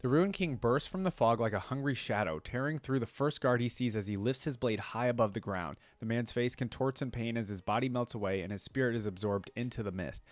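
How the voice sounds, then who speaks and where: A man's voice: mid-range voice, fairly quick, hardly any pauses, no room sound. A man hosting a reaction video, reading story text aloud and adding casual commentary.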